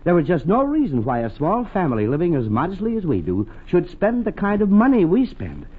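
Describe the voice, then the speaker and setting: A man's voice: high-pitched, wildly swooping pitch, brisk, breathy edge, heavily acted. An actor playing an exasperated, penny-pinching middle-aged American husband and father in vintage radio comedy style. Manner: blustery, emphatic. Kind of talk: 1940s-50s radio comedy acting. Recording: tinny old radio broadcast recording.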